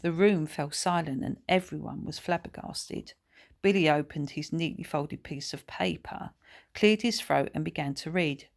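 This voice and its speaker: A woman narrates a children's story as the author reading from the page. Her pitch sits a little low, and her delivery is expressive, scripted storytelling. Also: normal speed, vocal fry.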